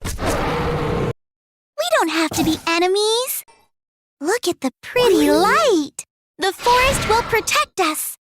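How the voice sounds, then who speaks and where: A woman voicing a gentle, childlike forest spirit in a video game, sweet and innocent. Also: very high-pitched, expressive, slow pace, long pauses, noisy background, exaggerated voice. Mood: gentle, hopeful. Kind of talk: video game hero voice lines.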